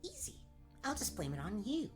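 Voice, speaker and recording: A woman playing a sarcastic, sharp-tongued young girl who teases dryly in a comedic fairy-tale radio play. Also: on the high side, wildly swooping pitch, normal speed, over music. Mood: snarky. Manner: dry, snarky, teasing.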